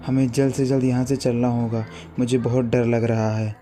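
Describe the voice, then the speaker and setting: A man's voice: medium pitch, completely flat delivery, normal speed, dark tone, lightly acted. A man voicing an anxious, questioning male traveller in a horror cartoon. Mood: alarmed.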